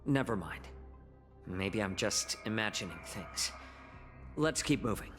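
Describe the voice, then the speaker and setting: Proud polite game warrior, lowish voice, formal, big echoey hall sound. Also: male voice, expressive, measured pace, fairly bright tone, faint music behind. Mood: composed. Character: composed, courteous male Foxian warrior, firm and proud. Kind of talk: scripted video game dialogue. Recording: long hall reverb.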